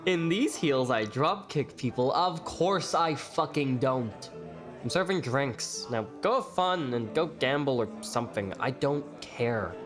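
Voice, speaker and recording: A man's voice: mid-range voice, lively intonation, measured pace, close to an everyday voice. A man acting as a brash, teasing, flamboyant young waiter with a sarcastic streak in an audio drama. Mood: sardonic, impatient. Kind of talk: audio drama acting with first-person narration. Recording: faint music behind the voice.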